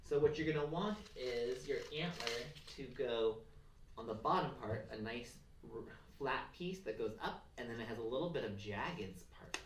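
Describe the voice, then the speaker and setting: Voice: medium pitch, expressive, normal speed. Roomy, distant-sounding children's craft presenter. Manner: encouraging, simple instructions for children. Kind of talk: step-by-step craft tutorial. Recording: roomy, distant mic, faint noise and clicks.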